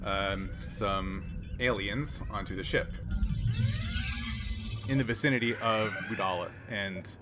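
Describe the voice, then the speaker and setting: A man's voice: fairly low pitch, slow pace, long pauses, music in the background. A man running a tabletop roleplaying game, narrating the action and thinking aloud with some hesitation. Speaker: game master. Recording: clearly noisy background.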